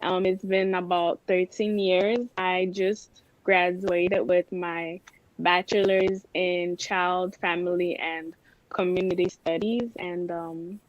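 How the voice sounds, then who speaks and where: A woman from Cameroon, a pageant contestant, answering politely and softly. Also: on the low side, flat delivery, slow pace. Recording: dull lo-fi call audio.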